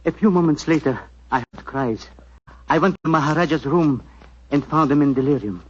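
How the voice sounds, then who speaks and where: An actor playing a solemn, proud Indian palace physician with formal, stately phrasing, in 1940s radio drama style. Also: male voice, on the high side, a little fast, husky. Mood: sorrowful. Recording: dull lo-fi 1940s radio recording.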